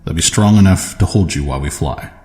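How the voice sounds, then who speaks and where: A man voicing a gruff, brisk shapeshifting dragon scout in an audio drama. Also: low voice, warm tone, reverberant, close to an everyday voice. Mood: brisk, matter-of-fact.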